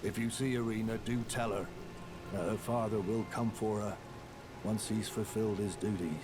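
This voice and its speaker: An actor playing a grave, dutiful castle warden and father in a fantasy game, with a gravelly voice. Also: lowish voice, fairly even intonation, moderate pace, natural voice. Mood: solemn, resolute. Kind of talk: scripted fantasy game dialogue. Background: music.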